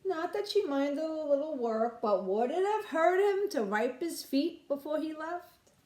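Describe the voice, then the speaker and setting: A woman reading aloud to young children like a nursery school teacher, expressive and explaining things simply, in a roomy space. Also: high-pitched, a little slow. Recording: roomy, echoing room sound.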